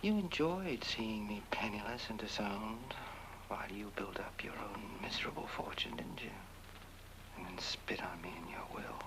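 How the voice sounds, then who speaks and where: An actor playing a scheming, bitter heir in a horror-comedy film, with an arch, drawling, mocking delivery. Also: male voice, on the low side, moderate pace, noisy background, close to an everyday voice. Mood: bitter gloating. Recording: film soundtrack with loud music.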